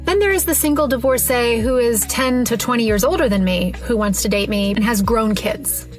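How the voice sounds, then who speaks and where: A woman in her early forties with a fairly high voice shares a casual personal monologue. She is wry and exasperated, leaning hard on key words, with faint music underneath. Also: moderate pace. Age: early 40s. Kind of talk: casual confessional monologue to camera.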